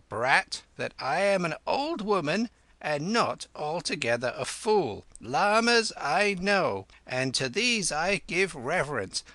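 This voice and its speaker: A man voicing a proud, sharp-tongued old widowed queen who scolds and cackles. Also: medium pitch, no echo, exaggerated voice.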